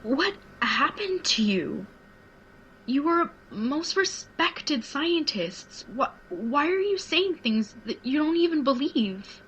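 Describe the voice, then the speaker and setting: Actress as distressed woman colleague, pleading, slow, high-pitched, radio play, dull noisy recording. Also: very animated, full character voice. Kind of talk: audio drama acting. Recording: dull lo-fi sound, loud background noise.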